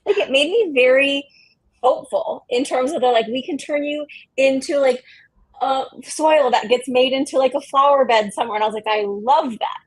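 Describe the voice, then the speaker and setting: A woman's voice: high-pitched, moderate pace. A woman giving casual, candid interview answers in very informal, conversational speech. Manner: informal, peppers talk with "like", candid. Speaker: company co-founder, interview guest.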